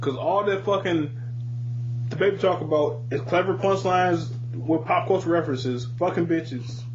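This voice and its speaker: A man with a fairly high voice shares opinions in a casual podcast conversation. He is blunt and swears freely. The sound is somewhat muffled, with a faint hum and noise behind him. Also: normal speed. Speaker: podcast co-host. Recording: dull lo-fi audio with hum and noise.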